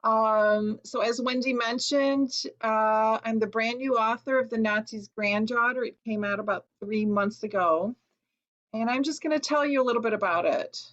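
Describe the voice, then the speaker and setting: An earnest woman from Chicago whose first language was Lithuanian gives an online lecture, reflective and hesitant at times. Her voice is bright and rather high. The audio is dull and lo-fi, as if over a video call. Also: moderate pace. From Chicago, USA. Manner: earnest, reflective, with frequent ums. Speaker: author giving a talk.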